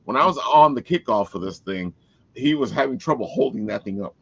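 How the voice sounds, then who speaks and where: Man with animated, swooping delivery, dull audio. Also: medium pitch, fairly quick, vocal fry, husky. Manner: enthusiastic, plugging. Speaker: podcast host. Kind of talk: casual promotional chat.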